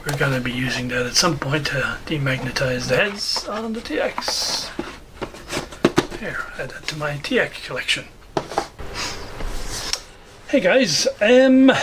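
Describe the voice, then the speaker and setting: A man's voice: on the high side, noisy background. A man giving a casual, unscripted walkthrough of a hobby project, hesitating as he thinks aloud. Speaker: hobbyist video host.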